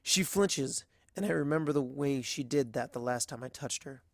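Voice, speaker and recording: Narrator for first-person fiction: expressive, dramatic delivery, creaky texture, dry close recording. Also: medium pitch, normal speed, no room sound. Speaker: audiobook narrator.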